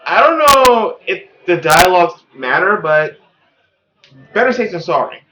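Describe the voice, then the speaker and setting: A man's voice: high voice, a little slow, poor audio. A man hosting a gaming video, reading a visual novel aloud and adding chatty commentary. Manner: animated, chatty.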